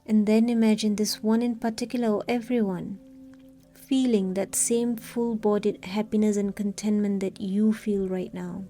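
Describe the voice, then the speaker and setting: Female meditation guide: soft, warm, relaxed timbre, mid pitch, calm scripted delivery with long pauses. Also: soft background music.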